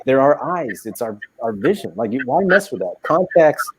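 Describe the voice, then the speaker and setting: A man who works as an attorney chats enthusiastically as a radio guest and keeps slipping into stories. His voice sits at a middle pitch. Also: very animated, moderate pace, breathy edge, no echo, poor audio. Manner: enthusiastic, storytelling. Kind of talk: casual radio chat.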